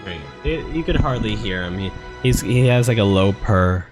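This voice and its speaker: A man's voice: on the low side, expressive, normal speed, over music. A man chatting casually and informally as he reacts live to a game he is streaming. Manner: informal, offhand.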